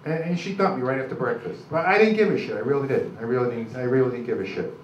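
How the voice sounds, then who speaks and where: An older man with a New York accent does halting, self-deprecating, rambling stand-up comedy. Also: mid-range voice, recorded from a distance. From Brooklyn, New York, USA. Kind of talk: live stand-up comedy, crude jokes. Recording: dull, noisy, echoey club recording from afar.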